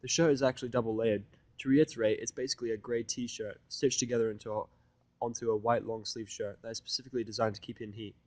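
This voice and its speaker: A man's voice: mid-range voice, fairly even intonation, quick pace, a little mellow, no echo. A man reading a scripted first-person fan fiction narration in a blunt, sardonic manner.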